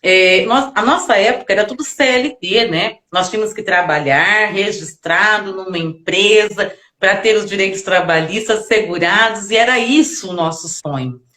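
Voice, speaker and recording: A woman's voice: medium pitch, normal speed, fairly bright tone. Woman host in an echoey room. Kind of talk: casual live chat.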